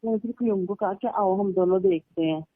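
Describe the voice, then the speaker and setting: A woman's voice: mid-range voice, fairly quick. Woman, casual storyteller on a recorded phone call; tinny, narrow sound, dry with no room echo. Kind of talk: casual phone chat, recounting personal stories. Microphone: telephone line.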